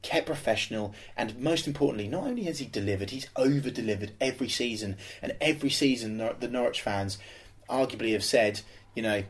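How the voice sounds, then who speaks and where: A man's voice: mid-range voice, fairly quick. Opinionated man on a football podcast. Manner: opinionated, emphatic, rambling with restarts. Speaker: podcast co-host. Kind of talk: casual football chat.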